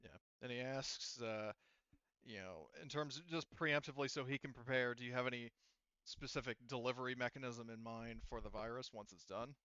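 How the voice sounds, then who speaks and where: A man improvising as game master of a tabletop roleplaying game, talking conversationally in a halting way. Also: mid-range voice, sharp tone, smooth, no room sound, highs cut off.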